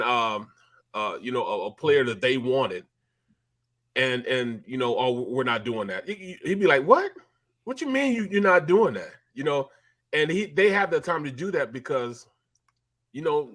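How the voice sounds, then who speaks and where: A man's voice: medium pitch, fairly bright tone. Easygoing man in his late forties. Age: 40s. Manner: conversational, many fillers and repeats. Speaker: sports talk co-host, former player. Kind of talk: casual sports talk.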